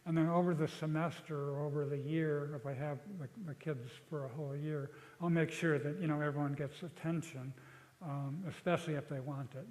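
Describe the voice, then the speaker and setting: A man's voice: on the high side, fairly even intonation, darkish tone. Older man lecturing in a reverberant hall. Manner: thoughtful, halting, many restarts.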